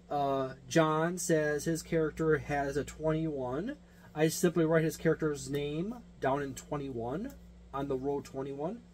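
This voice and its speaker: A man's voice: a little high, a little mellow. A man giving a casual, unscripted hobby review and explanation, rambling and correcting himself with emphatic delivery. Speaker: tabletop gaming reviewer and game master. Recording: noticeable background noise with hum.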